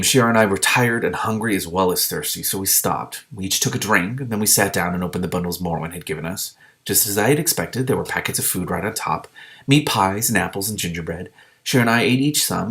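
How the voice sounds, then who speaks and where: A man with a fairly low voice narrates a storybook. He reads expressively, as a host sharing a novel aloud, and slips into casual commentary. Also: fairly bright tone.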